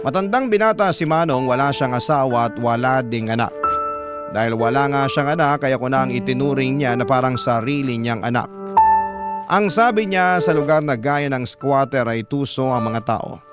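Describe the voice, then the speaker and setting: A man with a Filipino accent reading a scripted first-person story as a radio drama narrator, in a reflective storytelling manner. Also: medium pitch. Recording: loud music under the narration.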